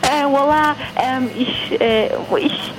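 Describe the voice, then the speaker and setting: A woman playing a shy teenage Kurdish shop girl who speaks hesitant, halting broken German in an improvised radio comedy. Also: high voice, rough recording. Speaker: shop clerk. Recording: music playing behind.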